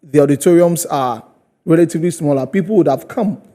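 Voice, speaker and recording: A Ghanaian man hosting a conversational TV interview, building his points piece by piece in a halting way. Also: on the high side, dark tone. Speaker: TV host.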